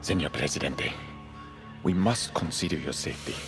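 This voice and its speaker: A deferential aide in a game drama, deep in voice. He pleads formally and urgently, with music clearly behind him. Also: normal speed. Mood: anxious urging. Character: anxious, deferential male military aide. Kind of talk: dramatic video game cutscene acting.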